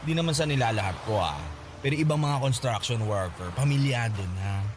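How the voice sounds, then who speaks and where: A man's voice: mid-range voice, animated, fairly quick, background noise, lightly acted. A man playing a gossipy, teasing, campy friend in a radio drama, exclaiming in sassy slang. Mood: scandalized. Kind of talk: Tagalog radio drama acting.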